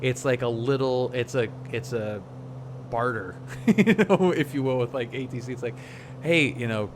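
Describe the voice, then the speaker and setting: A man with a medium-pitched voice talks in a casual, reflective livestream style. He pauses and restarts as he searches for the right words. A clear hum of noise runs behind his voice. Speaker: flight sim streamer.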